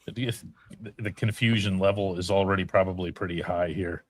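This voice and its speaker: A man's voice: low voice, fairly even intonation, moderate pace. A man hosting a live sports podcast, reading viewer comments in a firm, emphatic way. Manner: firm, emphatic, repeats himself for stress.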